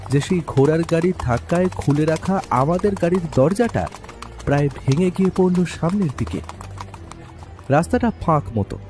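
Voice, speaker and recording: A man's voice: fairly high pitch, darkish tone. A man narrating a detective story in a dramatic, scripted first-person style.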